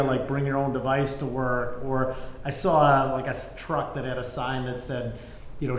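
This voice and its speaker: Middle-aged man telling stories, echoey room. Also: on the high side. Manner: informal, peppered with "you know" and "like". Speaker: business executive, interview guest. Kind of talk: conversational anecdotal storytelling. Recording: echoey room, faint background noise.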